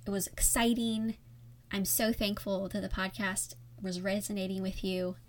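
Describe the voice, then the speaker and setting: A woman's voice: medium pitch, little pitch movement, normal speed. A woman hosts her own podcast alone at the mic, with a soothing voice that listeners often praise. She talks in a candid, reflective, conversational way, as if chatting with a friend. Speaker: podcast host. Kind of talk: solo podcast talk.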